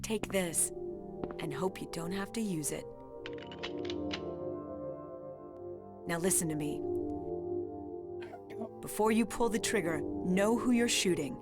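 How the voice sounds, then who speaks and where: A woman acting as a no-nonsense young policewoman from a nineties video game. Her voice sits a little low, firm and deliberate, with a guarded, commanding manner. Loud game music plays behind her. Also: close to an everyday voice. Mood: firm, instructing. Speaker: police officer.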